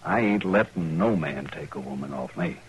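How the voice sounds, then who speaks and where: Surly frontier villain, low-voiced, gruff and defiant, faintly noisy vintage radio drama. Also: male voice, moderate pace. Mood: bitter, defiant. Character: surly, jealous male rancher, a wife killer. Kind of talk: 1950s western radio drama acting. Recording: noisy old radio recording.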